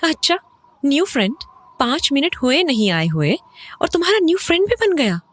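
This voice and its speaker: An uneasy mother played by an actress in a horror audio drama. Her voice is fairly high and very animated, questioning and conversational, recorded close and dry. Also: normal speed, no room sound. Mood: scolding concern. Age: adult. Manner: questioning, conversational, Hindi mixed with English. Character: uneasy mother and wife, returning to her old home.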